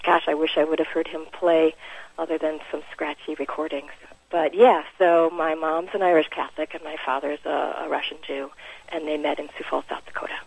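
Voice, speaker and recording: A woman's voice: deep voice, level tone, fairly quick, gravelly, breathy edge, low-quality recording. An American woman, a musician, speaking casually and informally as an interview guest. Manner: chuckles often, informal.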